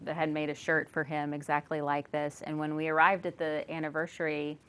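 A woman in her forties with a low voice, telling a story with real enthusiasm. She is a guest teacher on a small studio interview show. A lapel mic picks her up clearly. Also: little pitch movement, fairly quick, fairly bright tone.

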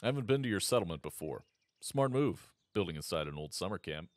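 A man's voice: sits low, big pitch swings, fairly quick, no echo, studio quality. An actor playing a stern, suspicious male army sergeant in a full-cast audiobook, speaking curtly and coldly. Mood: polite. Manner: curt, cold, commanding.

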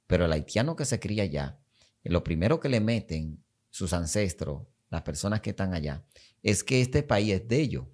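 Emphatic Dominican man, lowish voice. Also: big pitch swings, moderate pace, darkish tone. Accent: Dominican Spanish. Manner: emphatic, repetitive, gestures while talking. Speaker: podcast guest. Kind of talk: podcast conversation. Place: small studio set. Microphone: studio mic on boom arm.

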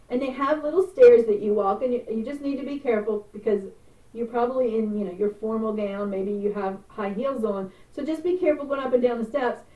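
A middle-aged woman hosting a travel vlog, chatting casually and explaining step by step. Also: a little high. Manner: chatty, gestures with hands while explaining. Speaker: travel vlogger. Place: small indoor room before a purple curtain. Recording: roomy, distant, phone-like sound.

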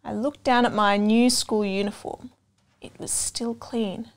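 A young adult woman reading a children's storybook aloud, expressive and deliberate, pausing to put questions to listeners. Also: fairly high pitch. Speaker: storytime reader. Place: indoor room with couch and bookshelves.

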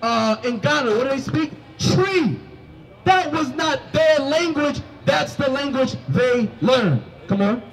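High-voiced street preacher shouting on a mic. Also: male voice, expressive, slow, rough recording. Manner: commanding, rising to shouts, quizzes listeners. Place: city sidewalk by a food cart. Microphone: handheld microphone.

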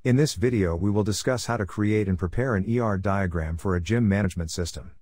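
A man narrating a scripted slide tutorial, reading through lists in an even, methodical tone. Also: lowish voice, dry recording, studio quality. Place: voice-over over slides.

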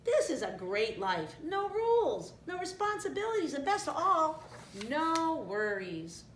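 Wisecracking carefree male meerkat, played by a woman, high and bouncy, slangy storybook sidekick in a roomy space. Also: very animated, a little slow, distant mic, slight background noise. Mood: breezy. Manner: slangy, breezy, emphatic.

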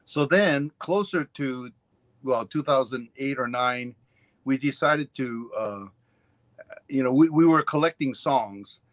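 A man's voice: mid-range voice, takes it slowly, no echo. A man in his sixties from Hawaii, a ukulele teacher telling his story on a video call, wandering through asides and fillers. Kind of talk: casual interview chat. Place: home room on a video call. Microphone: webcam on a video call.

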